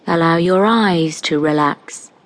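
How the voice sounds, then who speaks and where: A woman narrating a scripted guided meditation in a soothing, gentle manner, leaving long pauses between short phrases. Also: on the low side.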